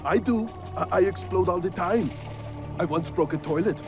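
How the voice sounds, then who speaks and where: A man voicing a dim-witted, gleeful villain's henchman in a cartoon, goofy and chuckling. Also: high voice. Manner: goofy, chuckling, slow and simple. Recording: loud music behind.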